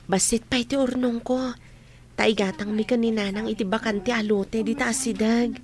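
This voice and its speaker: A woman plays a hardworking young masseuse with a fairly high voice. She is chatty, earnest and hopeful, with an Ilocano accent, in the manner of a village radio comedy. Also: fairly even intonation, moderate pace, natural voice. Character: hardworking, hopeful young massage clinic owner. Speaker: radio drama performer. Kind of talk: comedy radio drama acting.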